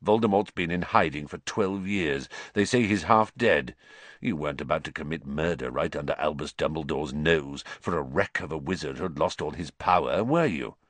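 A man voicing a bitter, vengeful male wizard who speaks in a low, growling, venomous way. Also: normal speed, fairly bright tone, no room sound.

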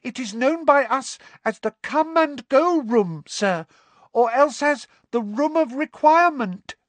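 A man voicing a small, eager, devoted male house elf with a squeaky voice who refers to himself in the third person. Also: expressive, unhurried, dry recording.

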